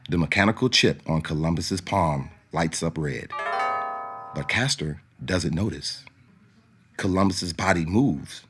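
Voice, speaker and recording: Deep male narrator for a radio play, measured delivery, music playing underneath. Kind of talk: scripted radio drama narration.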